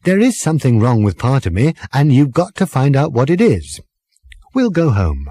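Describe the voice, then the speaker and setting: Earnest young woman for storybook dialogue, performed by a man. Higher, mellow, insistent and emphatic. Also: wildly swooping pitch, normal speed, no echo, clean studio sound. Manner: emphatic, urgent explaining.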